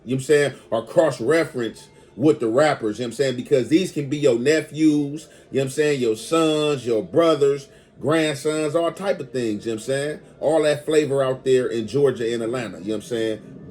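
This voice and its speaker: A man's voice: mid-range voice, a little mellow. An American man hosting an online commentary video, talking casually and off the cuff in slang and often checking in with the listener.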